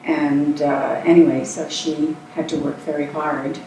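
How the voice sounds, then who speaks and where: An older woman born in Scotland, talking about her life in a relaxed, thoughtful way, pausing often as she answers. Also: low voice. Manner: relaxed, thoughtful, with frequent hesitations. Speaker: interview guest, actress. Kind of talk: casual conversational interview. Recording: echoey room, distant mic, faint noise.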